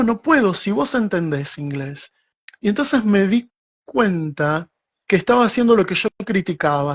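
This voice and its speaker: Middle-aged argentine guy, guest writer on a video call, thoughtful, lots of restarts. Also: high voice. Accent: Argentine Spanish. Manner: reflective, with frequent restarts and slow stretches. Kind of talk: casual interview answer.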